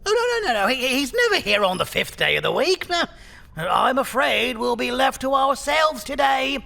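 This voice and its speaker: A man playing a cheeky, dim old prisoner in an audio drama, stammering and chuckling as he rambles in a creaky, cackling voice. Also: very high voice, very animated, normal speed, sharp tone, full character voice. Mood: gleeful.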